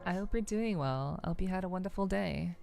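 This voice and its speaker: A woman hosting a livestream, chatting casually and softly with her viewers in a relaxed, friendly way. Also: fairly low pitch, fairly quick, creaky, faint music behind. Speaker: livestream host.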